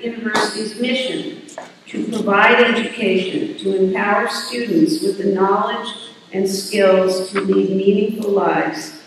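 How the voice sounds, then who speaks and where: A woman's voice: medium pitch, fairly even intonation, a little slow. An older woman speaks from a podium on a large stage, delivering formal commencement welcomes and introductions with a dry, self-deprecating touch. Her voice rings through a big, reverberant hall and sounds set back from the microphone, with a faint hiss underneath. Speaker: university president. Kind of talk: commencement address. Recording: echoey hall, heard from a distance.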